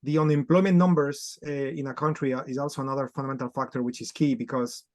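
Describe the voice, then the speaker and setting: A man speaks as a guest trader over a video call, laying out his points step by step. He fills pauses with "uh" and restarts often. His voice sits mid-range, recorded dry and close. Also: mid-range voice, steady tone, a little mellow, no echo. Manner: explanatory, frequent fillers and restarts. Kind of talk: podcast interview.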